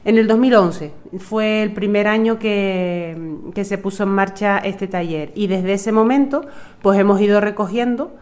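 A woman from Tenerife, a summer camp coordinator, answering an interview in a halting, searching way. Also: mid-range voice, steady tone, a little mellow. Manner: hesitant, searching for words.

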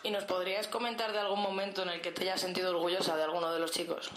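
A woman who hosts a radio interview and asks brisk, formal questions. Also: fairly low pitch, little pitch movement, a bit bright. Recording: echoey room.

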